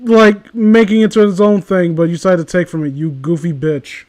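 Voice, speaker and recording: A man with a high-pitched voice chats casually and rants as he goes. He rambles through his opinions, exasperated and sweary. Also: fairly even intonation, moderate pace, a little mellow. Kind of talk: casual ranting chat.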